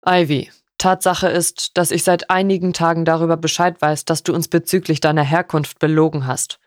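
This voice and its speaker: A woman voicing a stern, stony older lord in an audiobook, formal, measured and sharp. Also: deep voice, flat delivery, no room sound, studio quality, natural voice. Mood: grave.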